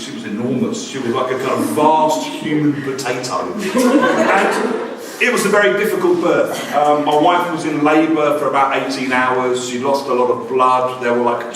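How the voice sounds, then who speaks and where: A man's voice: medium pitch, a bit bright, slight background noise. A man in his forties telling a wry, self-deprecating personal story about family life as a language teacher. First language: English. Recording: very echoey room, distant mic.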